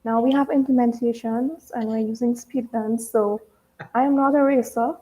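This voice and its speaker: A woman's voice: fairly high pitch, fairly even intonation, moderate pace, mellow, poor audio. A woman from Trinidad and Tobago, chatting casually as a guest on a live interview show and laughing easily.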